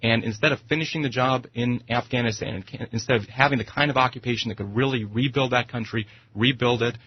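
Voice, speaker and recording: A man's voice: medium pitch, fairly even intonation, fast, breathy edge, lo-fi sound. An American man arguing politics as a guest on talk radio, speaking emphatically and repeating phrases to press his point. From United States.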